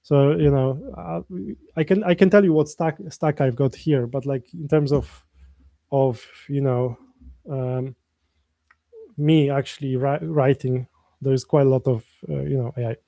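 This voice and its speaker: A man's voice: mid-range voice, steady tone, a little slow, vocal fry, warm tone, dry recording. A man with a Polish accent, live-streaming his coding and chatting casually with viewers in a rambling way, often restarting his sentences. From Poland. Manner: rambling, many restarts, reads chat aloud.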